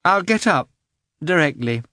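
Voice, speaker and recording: A man voicing a small, affectionate, excitable boy in an audiobook, with eager, childlike exclamations. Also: on the high side, measured pace, no echo, studio quality, pitched above the performer's own voice. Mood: eager, sleepy. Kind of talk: audiobook character voice.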